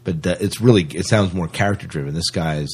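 A man's voice: sits low, a little fast, darkish tone, husky. A man co-hosting a podcast, chatting casually about news in a hesitant, rambling, conversational way. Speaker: podcast co-host.

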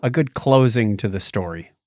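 A man's voice: on the low side, expressive, normal speed, a little mellow, dry recording. Casual man podcasting, dry close sound. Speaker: podcast co-host. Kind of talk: casual chat about shows, films and music.

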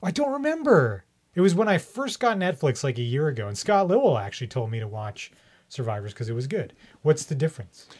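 A man's voice: on the high side, very animated, fairly quick, darkish tone. A man hosting a podcast in an informal, joking way, chatting and asking questions. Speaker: podcast host. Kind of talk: casual chat, asking questions.

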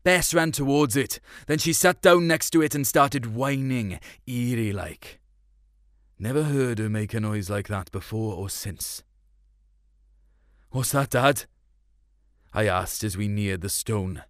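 A man's voice: on the low side, measured pace, no echo, studio quality. A man reading a first-person story in a colloquial, melancholic way. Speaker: short story narrator.

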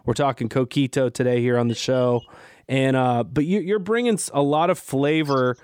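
A man's voice: mid-range voice, normal speed, darkish tone, clean tone, no room sound, studio quality. A man hosting a podcast, chatting in an upbeat, casual way as he introduces the episode and his guest. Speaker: podcast host.

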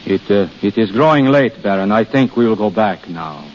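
A man's voice: fairly low pitch, expressive, moderate pace, close to an everyday voice. Man playing courtly, wistful Death as a prince, swooping intonation. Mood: wistful musing. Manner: formal, musing, hesitant phrasing. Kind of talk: 1930s radio drama acting. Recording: muffled, hissy 1930s radio recording.

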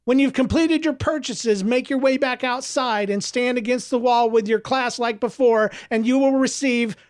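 A man voicing a stern, bossy woman chaperone in an audiobook story, commanding and deadpan. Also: very high-pitched, moderate pace, voice pushed up. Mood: officious. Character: stern bossy aunt, school trip volunteer with microphone.

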